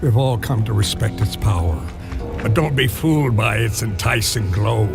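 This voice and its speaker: An actor playing a tormented, bitter old smith god in a video game, with a creaky voice and a wry, musing manner. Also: male voice, on the low side, lively intonation, normal speed. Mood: cryptic, wry. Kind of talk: video game cutscene acting. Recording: game music behind voice.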